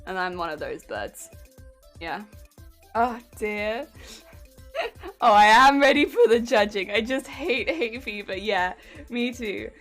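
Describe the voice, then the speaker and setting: A woman's voice: medium pitch, animated, slow, long pauses, a bit bright, soft background music. A woman streamer chatting casually while she crafts, with a weak, warbly voice that is a little congested from hay fever, chatty and giggly as she greets her viewers. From United Kingdom. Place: home craft room.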